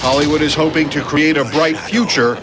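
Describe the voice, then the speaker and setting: A man's voice: fairly high pitch, fairly even intonation, fairly quick, noisy background. A man reading a scripted television feature as reporter, with a dramatic, playful storytelling delivery. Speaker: reporter narrator. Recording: broadcast voice-over over music. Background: music.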